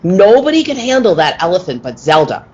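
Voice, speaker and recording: Lady doing snappy circus dame, radio play, raspy, defensive, pitch jumps around. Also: very animated, fairly quick, a little mellow, highs cut off. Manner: blunt, defensive. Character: sharp-tongued, defensive circus woman, blunt and impatient. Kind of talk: old-time radio play acting.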